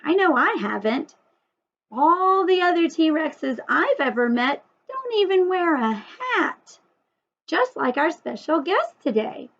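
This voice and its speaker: A woman's voice: high voice, sing-song, takes it slowly, a bit bright. A woman teacher leading a story time lesson for young children, asking lots of questions and emphasising key words. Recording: dull lo-fi sound.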